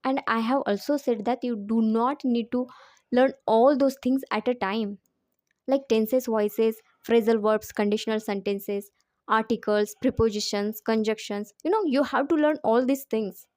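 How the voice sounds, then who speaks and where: A woman's voice: a little high, steady tone, moderate pace, darkish tone, dry recording, clean studio sound. A woman who speaks English as a second language, giving an informal lesson from personal experience and repeating points for emphasis. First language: not English. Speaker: English teacher giving advice.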